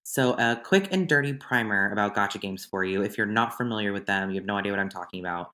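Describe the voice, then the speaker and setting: A slightly hoarse young man hosting his podcast in a chatty, rambling solo monologue. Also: lowish voice, fast, sharp tone. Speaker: podcast host. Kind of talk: casual solo podcast chat.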